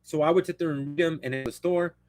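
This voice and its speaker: A man's voice: a little high, fairly even intonation, quick pace, a little mellow, no room sound. Rambling man, dry close sound. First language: Spanish. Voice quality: rambling, self-interrupting, blunt. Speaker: livestream host. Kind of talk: casual live-stream chat.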